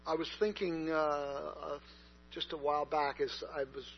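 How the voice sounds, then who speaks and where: Guy preaching off the cuff, lots of ums and restarts, slightly high voice, muffled recording with hum. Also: little pitch movement, moderate pace, vocal fry. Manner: conversational, many fillers and restarts. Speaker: pastor. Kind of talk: sermon. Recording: dull lo-fi recording with mains hum.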